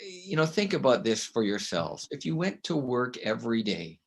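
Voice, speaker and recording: A middle-aged man from Canada with a loud voice, speaking as a guest in an interview. He is emphatic and tells stories, and he often ends his points with a quick "right?". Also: medium pitch, very animated. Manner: emphatic, storytelling, with frequent "right?" tags. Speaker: guest author and consultant. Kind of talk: conversational interview.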